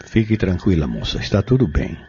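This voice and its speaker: An actor playing a kindly, solemn pharmacist and healer in a Brazilian audio drama, speaking in formal, measured, preacherly phrases with a Brazilian accent. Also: male voice, low voice, big pitch swings, mellow, a little hiss or hum. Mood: calm, reassuring. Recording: muffled, dull lo-fi recording.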